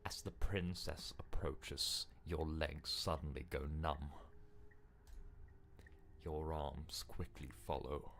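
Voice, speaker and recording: A man voicing a grave, stern narrator of a dark horror tale, measured and matter-of-fact. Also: extremely low pitch, steady tone, creaky, slight background noise, exaggerated voice. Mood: ominous. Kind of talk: scripted second-person narration.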